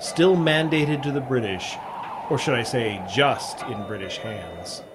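A hard-boiled adventurer from an old radio drama, acted by a man. He has a medium-pitched voice and talks in short, clipped, deadpan phrases, wry and terse in the noir storytelling manner. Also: noisy background, close to an everyday voice. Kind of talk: old-time radio noir acting and first-person narration.

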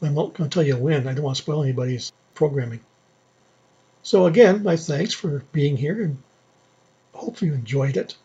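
An older man presenting a radio show in an unscripted, chatty way, with a husky, worn voice. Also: a little high, normal speed, mellow. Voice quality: husky, unreliable voice. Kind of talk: unscripted sign-off and chat. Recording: dull, muffled audio.

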